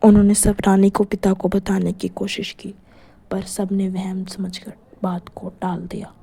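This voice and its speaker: Female storyteller for scripted horror tales, dark, soft-edged tone. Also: medium pitch, monotone, unhurried, gravelly, breathy edge. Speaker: narrator.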